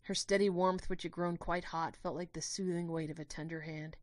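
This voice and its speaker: A woman giving a dramatic first-person narration of a scripted story, in a measured, literary and expressive way. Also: fairly low pitch, no room sound.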